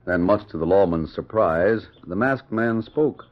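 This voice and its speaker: A man's voice: fairly low pitch, measured pace. An American man narrating a 1940s radio western in a grand, theatrical scripted style. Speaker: announcer and narrator. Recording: muffled vintage 1940s radio recording.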